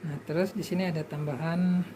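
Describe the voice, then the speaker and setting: A low-voiced woman walks someone through a device. She speaks informally, in short practical instructions, one step at a time. Clear background noise sits behind her. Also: steady tone, brisk. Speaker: walkie-talkie seller demonstrating to a customer. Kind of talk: informal hands-on product demonstration. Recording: noisy on-location sound, clear background noise.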